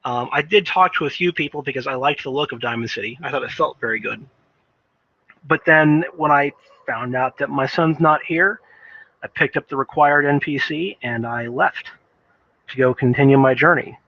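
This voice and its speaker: A man giving casual, unscripted game commentary in a rambling, halting way, often repeating words as he thinks. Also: medium pitch, no echo, dull audio. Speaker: video game reviewer.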